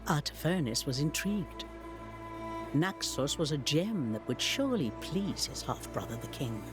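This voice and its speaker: Formal game narrator telling ancient history, mid pitch, very lively intonation, music behind. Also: a little slow. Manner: storytelling, formal. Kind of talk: scripted dramatic historical narration for a strategy game.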